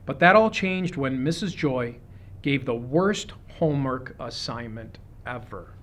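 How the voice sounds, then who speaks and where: A man reading a children's picture book aloud, with expressive, deliberate phrasing suited to young listeners. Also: medium pitch, slight background noise. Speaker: storybook reader.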